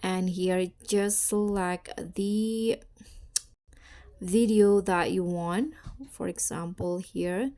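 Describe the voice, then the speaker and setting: A woman hosting a casual step-by-step tutorial, narrating in a halting, deliberate way. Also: fairly low pitch, steady tone, dark tone, smooth. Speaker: tutorial host.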